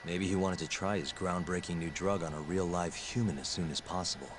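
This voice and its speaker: Casting: man as a composed, deliberate game detective, notably deep register, noisy backdrop. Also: lightly acted. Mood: earnest. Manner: deliberate, serious, reasoning. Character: calm, deep-voiced serious male sleuth. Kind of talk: video game dialogue acting.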